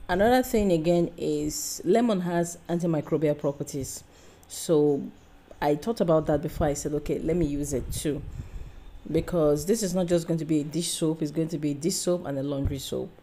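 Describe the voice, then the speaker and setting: A low-voiced woman, a soap-making host, talks through her recipe in a warm, unhurried tutorial voice-over. Her delivery rambles, with frequent fillers and corrections as she explains each step.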